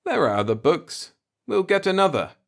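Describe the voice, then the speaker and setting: Man playing an earnest, insistent space traveler, raised pitch. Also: sing-song, moderate pace, gravelly, heavily acted. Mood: consoling. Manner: insistent, explanatory, persuasive. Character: earnest human male space traveler, reasoning, impatient.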